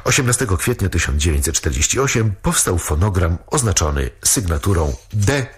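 A man narrating a documentary in a formal, measured way. His voice is deep and very husky. Every sentence sounds carefully scripted. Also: very animated.

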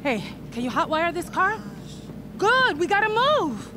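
An actress playing a brash, foul-mouthed, impatient criminal crew member in a video game, blunt and combative. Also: female voice, very high voice, sing-song, a little slow, background noise, full character voice. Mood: urgent. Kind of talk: video game cutscene acting.

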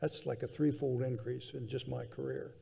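An older man speaking as a guest on a conference panel, measured and thoughtful, often restarting his sentences, seated on a hall stage. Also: medium pitch, fairly even intonation, creaky, dark tone, slightly rough. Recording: reverberant convention hall.

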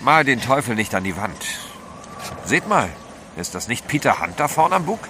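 An actor playing a resolute, courteous gentleman adventurer in a German audio drama. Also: mid-range voice, big pitch swings, moderate pace, natural voice. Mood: composed. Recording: noisy sea effects behind.

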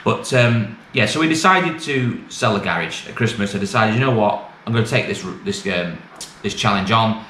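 A man's voice: on the low side, expressive, normal speed, bright, forceful tone. A middle-aged British man giving a casual, matter-of-fact, rambling update to camera in colloquial language, recorded in a small bare office. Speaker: used car dealer and vlogger. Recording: echoey small room, clear background noise.

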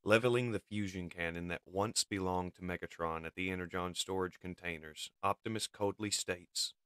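A man's voice: low-pitched, fairly even intonation, normal speed, fairly bright tone, clear, resonant tone, no echo, studio quality. A man narrating a comic book as a dramatic scripted reading. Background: music.

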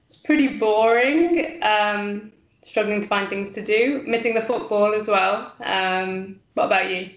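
A woman's voice: mid-range voice, measured pace. Woman on an echoey video call. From English Midlands. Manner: relaxed, many ums. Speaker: guest, fan channel creator. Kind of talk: casual video-call interview. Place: small room at home. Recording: echoey room, dull lo-fi call audio.

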